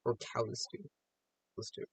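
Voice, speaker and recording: A man's voice: normal speed, a bit bright, poor audio. A man giving casual, off-the-cuff reaction commentary, musing aloud and posing rhetorical questions. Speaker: reaction video host.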